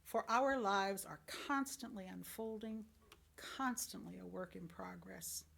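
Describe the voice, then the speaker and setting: A woman reading a sermon from a script as a lay church speaker, in earnest, deliberate phrases. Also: mid-range voice, creaky, a bit bright, husky. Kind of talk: sermon, read from a script with short personal commentary.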